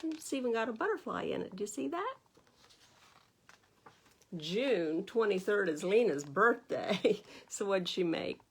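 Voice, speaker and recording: An American woman reads aloud to young children as a storytime host. Her pace is slow and unhurried, with a mid-range pitch. She talks in a teacherly way, checking in with her listeners through simple questions. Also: slightly rough. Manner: animated teacherly asides, asking the listeners questions. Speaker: library storytime host reading a picture book.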